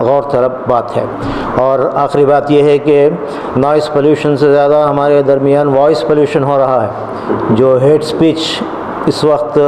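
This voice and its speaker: A man giving a formal public statement, measured and hesitant. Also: mid-range voice. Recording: echoey room, faint background noise.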